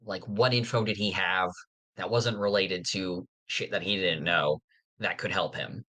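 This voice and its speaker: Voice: low-pitched, fairly even intonation, a little slow, sharp tone. A podcast co-host in casual fan discussion, rambling along with slang and the odd mild swear.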